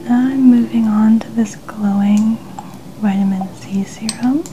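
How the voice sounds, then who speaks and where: Very soft whispering ASMR woman. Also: fairly high pitch, steady tone, a little hiss or hum, rough recording. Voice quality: breathy, mostly whispered. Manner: gentle, unhurried reassurance. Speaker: ASMR makeup artist. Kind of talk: ASMR personal-attention role-play.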